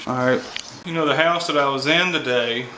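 A man's voice: mid-range voice, moderate pace, pushed and bright, slight background noise, low-quality recording. A man in his thirties with a Kentucky accent, explaining heating and air repair in a folksy, think-aloud way, filmed on a camera mic. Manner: folksy, thinks aloud, frequent "all right". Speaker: HVAC technician. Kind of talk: casual on-the-job explanation. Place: outdoors by an AC unit, then inside a house.

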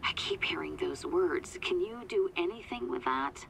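An actress playing a wry, secretive small-town psychic in a video game, with a hushed, confiding manner. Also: female voice, low voice, huge pitch swings, normal speed, vocal fry, a crowd in the background, heavily acted. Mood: uneasy.

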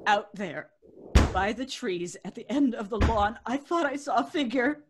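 A woman's voice: on the high side, expressive, measured pace, noisy background. A woman playing an anxious, pleading wife in an old-time radio drama. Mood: fearful.

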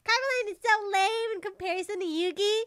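A woman's voice: fairly quick, no echo. A woman voicing a whiny, hyper little boy with a lisp for a cartoon parody. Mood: adoring. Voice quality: squeaky. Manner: whiny, yelling.

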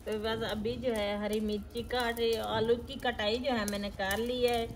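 A woman's voice: a little high, little pitch movement, moderate pace, a little hiss or hum. A middle-aged woman, explaining a recipe step by step in a homely, matter-of-fact way as she cooks. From Pakistan. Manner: homely, matter-of-fact instructions with frequent fillers. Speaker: village cooking vlogger. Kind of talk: step-by-step cooking narration. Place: outdoor courtyard with brick walls.

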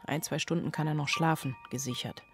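An actress playing an obsessive, distrustful single mother in a radio drama, cold and deliberate. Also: sits low, fairly even intonation, lightly acted. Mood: obsessive, worried. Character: obsessive, distrustful single mother plotting against her child. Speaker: actress, lead and narrator of a radio play. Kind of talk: German radio drama monologue. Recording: music under the voice.

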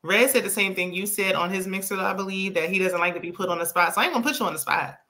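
A woman's voice: medium pitch, fast, sharp tone. A woman hosting a casual live-stream interview over a video call, chatty and playful, laughing often and using casual slang. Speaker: host of a live-stream show. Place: indoor living room.

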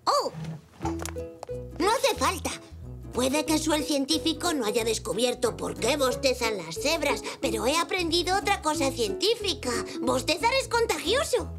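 A woman voicing a curious, eager five-year-old zebra boy in a cartoon dub, expressive. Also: sits high, unhurried, music in the background. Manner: expressive, exclamatory. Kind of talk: Spanish children's cartoon dubbing.

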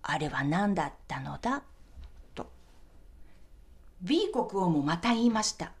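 An actress playing a mother reading a picture book aloud in a radio drama, slow and measured. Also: fairly low pitch, big pitch swings. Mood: measured, storytelling.

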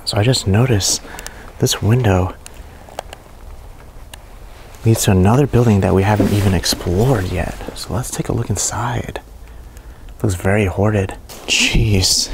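A young man narrating a walkthrough of an abandoned mansion to a handheld camera, hushed and awed by what he finds. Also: fairly low pitch, unhurried, pauses often, slight background noise. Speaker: urban explorer vlogger. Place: inside a large abandoned mansion.

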